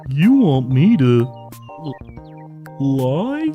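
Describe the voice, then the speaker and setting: A man voicing an earnest, grieving talking bull with a mellow voice and a slow delivery in an audio horror comedy. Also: medium pitch, very animated, muffled recording, faint music behind. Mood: anxious, eager. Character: earnest, grieving talking bull seeking his wife's return.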